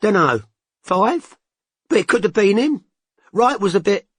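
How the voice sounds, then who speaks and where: A brash, grinning bloke , put on by a male narrator, in a husky, high, lively voice. He talks bluntly in slang, full of smug gossip, and the sound is close and dry. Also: unhurried, no echo, exaggerated voice. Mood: offhand. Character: cocky working-class English man, chatty, smug. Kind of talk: audiobook character voice.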